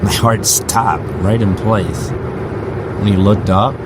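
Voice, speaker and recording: A man's voice: on the low side, slow pace, very noisy. A man playing a weary, brooding rideshare driver and father, narrating an audio drama in a somber, plain-spoken, introspective way. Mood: brooding, reflective.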